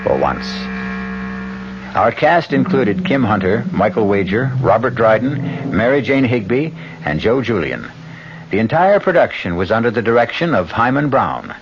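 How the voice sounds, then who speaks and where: A man hosting a radio show with theatrical, measured scripted narration. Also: fairly low pitch, sing-song, noisy background. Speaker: host and announcer. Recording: muffled 1970s radio broadcast.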